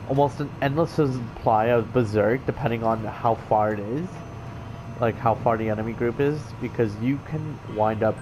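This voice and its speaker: A man's voice: medium pitch, background noise. A man giving casual game commentary, explaining things in a rambling, hesitant way. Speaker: let's player. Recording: game music behind the voice. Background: video game music and sounds.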